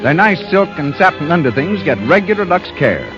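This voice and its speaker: A man's voice: on the high side, expressive, a little fast. A man announcing in a polished, emphatic scripted style on a 1930s radio broadcast. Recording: muffled vintage 1930s radio broadcast, music behind.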